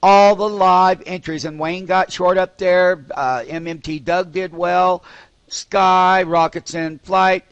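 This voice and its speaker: A man's voice: sits high, fairly even intonation, slow pace, clear, resonant tone, no room sound, dull audio. A man hosting a live stock trading call with emphatic, repetitive commentary.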